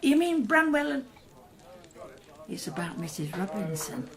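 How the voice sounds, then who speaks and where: An actress playing a middle-aged Victorian village innkeeper in a radio drama, chatty, inquisitive and fond of gossip. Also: female voice, medium pitch, sing-song, unhurried, reverberant, a crowd in the background. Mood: curious, gossipy.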